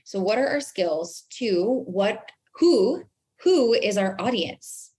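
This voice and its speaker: A woman's voice: medium pitch, big pitch swings, slow pace, darkish tone. An American woman speaking as an interview guest and branding coach, explaining emphatically and posing rhetorical questions.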